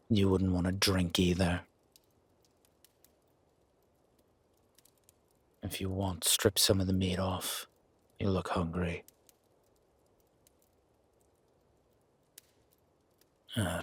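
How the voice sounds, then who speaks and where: A man playing a gruff, wary but grudgingly kind werewolf in a roleplay audio drama, with a raspy, growling, half-whispered voice and terse, brooding delivery. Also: sits low, fairly bright tone, dry recording, exaggerated voice. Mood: gruff, softening. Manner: slow, brooding, terse commands.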